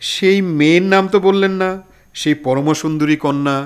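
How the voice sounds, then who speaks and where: A man's voice: fairly high pitch, moderate pace, smooth, natural voice. A man playing a naive, curious young listener who questions and challenges a storyteller in a Bengali audio drama. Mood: doubting. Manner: inquisitive, challenging, eager.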